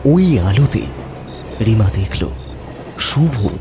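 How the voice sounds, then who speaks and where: A man narrating a horror story in a suspenseful, theatrical manner with dramatic pauses. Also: fairly low pitch, expressive, background noise.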